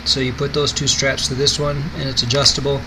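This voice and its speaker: A man's voice: mid-range voice, level tone, fairly quick, background noise. A man giving a casual product demonstration in an offhand, rambling way.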